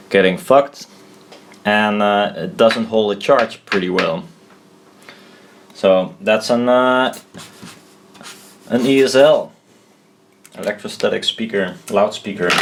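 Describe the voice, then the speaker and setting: Male hobbyist explainer, lowish voice, very slow and halting, informal and unscripted, thinking out loud. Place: indoors at a desk.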